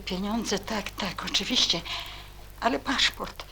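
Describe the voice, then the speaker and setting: An actress playing a kindly, flustered elderly lady in a radio play, husky and hesitant. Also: medium pitch, slow pace, noisy background. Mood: distressed. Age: older. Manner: hesitant, bewildered.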